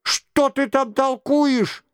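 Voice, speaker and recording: Мужчина-чтец играет раздражённого, негодующего короля, который возбуждённо восклицает и бранится. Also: male voice, expressive, unhurried, no echo, studio quality. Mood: angry. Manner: high, agitated exclamations. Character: indignant, exasperated king, hissing insults through gritted teeth.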